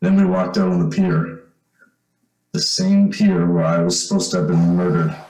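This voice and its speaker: A man's voice: deep voice, huge pitch swings, moderate pace, a crowd in the background, heavily acted. A man acting an eager, uneasy young man in an old-time radio drama, with boyish, hesitant slang. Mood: reminiscing. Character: eager, uneasy young man back home, telling his story. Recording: roomy echo, music behind.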